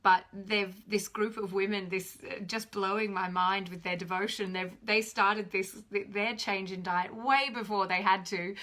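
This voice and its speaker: A woman in her thirties with a slightly croaky voice, chatting animatedly on a live stream from home to her phone camera. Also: mid-range voice, moderate pace, hardly any pauses. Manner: animated, gestures a lot while talking; chatty and self-deprecating. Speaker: spiritual teacher hosting a live stream. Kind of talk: casual live-stream talk. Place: home room indoors. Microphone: phone camera at arm's length.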